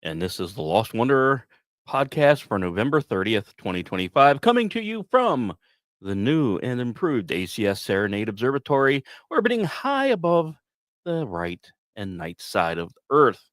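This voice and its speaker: A man's voice: mid-range voice, very animated, normal speed, no room sound, clean studio sound. A man hosting a podcast, reading scripted space news like a dramatic story with conversational asides. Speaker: podcast host.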